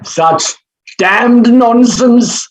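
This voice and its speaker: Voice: mid-range voice, very slow, reverberant, rough recording. An actor playing a brash, self-absorbed male phonetics professor in a staged comedy reading, blustering and emphatic. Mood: brash, mischievous. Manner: blustering, emphatic, upper-class turns of phrase.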